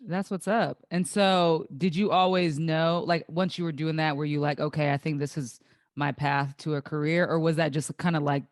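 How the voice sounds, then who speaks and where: A woman in her late twenties hosting a casual interview, friendly and encouraging with quick short replies. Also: sits low, fairly even intonation, no echo, studio quality. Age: late 20s. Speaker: podcast host.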